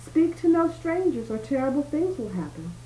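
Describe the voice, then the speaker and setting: A woman's voice: fairly high pitch, takes it slowly, mellow. Woman voicing a menacing old storybook witch. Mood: stern warning. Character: wicked old witch, stern, menacing, gloating. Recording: roomy home recording with background noise.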